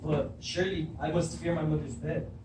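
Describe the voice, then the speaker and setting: A man's voice: on the high side, fairly even intonation, normal speed, natural voice. A man acting a proud, troubled king in an amateur stage reading, declaiming and commanding. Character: proud, troubled king demanding the truth of his birth. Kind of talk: beginning drama class readers theatre. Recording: echoey room, distant mic, faint noise.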